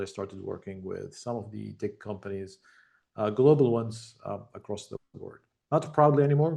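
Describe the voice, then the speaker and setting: A middle-aged man from Jordan, answering interview questions over a video call in a measured, reflective way with frequent ums. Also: lowish voice, vocal fry, a little mellow. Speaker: tech founder guest.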